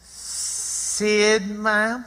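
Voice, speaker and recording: Folksy young Southern runaway: very sharp, high voice, plain-spoken radio storytelling, reverberant sound. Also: male voice, completely flat delivery, very slow, exaggerated voice. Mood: polite, hesitant. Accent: American Southern. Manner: folksy, colloquial. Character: plucky, folksy runaway country boy. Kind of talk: old-time radio theatre, first-person storytelling. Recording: reverberant sound with faint music.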